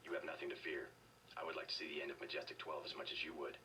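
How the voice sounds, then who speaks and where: Very deep, monotone secret agent. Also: male voice, racing, far from the mic, slight background noise, rough recording. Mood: deadpan, probing. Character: calm, gruff male secret agent, sympathetic to rebels. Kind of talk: video game dialogue acting.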